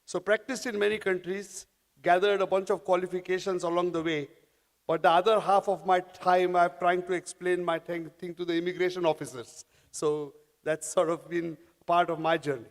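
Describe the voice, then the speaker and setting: A man, giving a conference talk in a halting way with many restarts. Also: high voice, little pitch movement. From India. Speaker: panel speaker. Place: large conference hall. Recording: long hall reverb.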